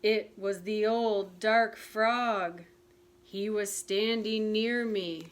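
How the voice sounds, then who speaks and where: A woman's voice: medium pitch, fairly even intonation, drawn-out words. A teasing storyteller frog, as a woman reads him. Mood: storytelling. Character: playful male frog telling a ghost story.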